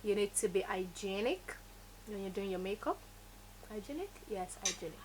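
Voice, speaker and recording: A woman's voice: mid-range voice, expressive, moderate pace, background noise. Woman teaching makeup. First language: Yoruba. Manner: instructive, emphatic, repeats key words. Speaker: makeup tutorial host. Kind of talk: step-by-step beauty tutorial.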